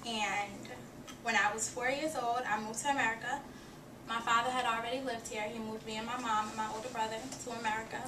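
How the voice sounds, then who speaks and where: A young woman from Haiti, a student giving a prepared personal introduction speech to her class in an earnest, self-reflective way. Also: a little high. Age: young adult. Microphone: far camera. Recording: echoey classroom from far off with noise.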